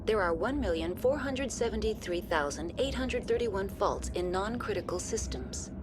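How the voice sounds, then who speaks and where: A woman playing a calm, procedural computer intelligence in a video game, speaking in formal, emotionless announcements. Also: medium pitch, moderate pace, music in the background, natural voice. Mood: impassive. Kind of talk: scripted video game voice acting.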